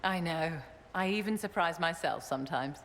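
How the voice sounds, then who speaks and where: A woman's voice: on the low side, normal speed, natural voice. A woman playing a grand, imperious middle-aged court enchantress in a fantasy video game, with a haughty, theatrical manner. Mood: haughty, amused. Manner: haughty, theatrical, silky endearments. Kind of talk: video game cutscene acting. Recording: long reverberant hall, faint noise.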